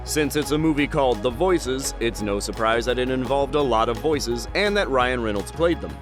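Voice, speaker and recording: Animated man narrating a list video. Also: medium pitch, normal speed, over music. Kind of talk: scripted pop-culture list narration.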